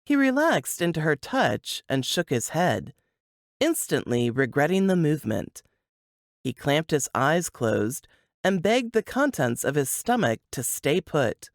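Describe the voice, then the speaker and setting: Slow, expressive woman narrating fiction. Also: sits low, dry recording, studio quality. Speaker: audiobook narrator.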